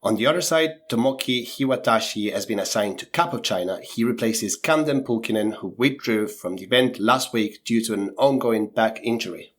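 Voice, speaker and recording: A man's voice: fairly low pitch, moderate pace, hardly any pauses. A man with a sore throat co-hosting a podcast, reading skating news in casual chat with some hedging fillers. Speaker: podcast co-host.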